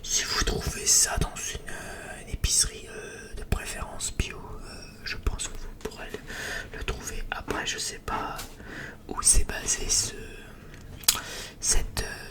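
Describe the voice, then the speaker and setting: A person whispers a breathy, hesitant, rambling ASMR chat to viewers as a video creator. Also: slow pace.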